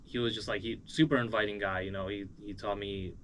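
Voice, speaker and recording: American guy, lowish voice, casual musician interview, kinda rambly, bit of noise behind him. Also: fairly even intonation, fairly quick, a bit bright. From moved as a small child from Uzbekistan, raised in Cleveland, USA.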